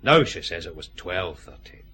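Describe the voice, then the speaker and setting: An actor playing a middle-aged Scottish detective inspector in a radio play, a dry-witted, grumbling bachelor. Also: male voice, low-pitched, moderate pace, soft background music, exaggerated voice. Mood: matter-of-fact. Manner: grumpy, deadpan, homely. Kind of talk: radio detective drama acting.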